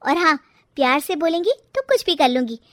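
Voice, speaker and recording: A woman's voice: sits high, animated, a little fast, dry recording, clean studio sound. A woman voicing a cheeky, scheming young daughter-in-law in a cartoon moral story, pert and theatrical. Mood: pert, defiant.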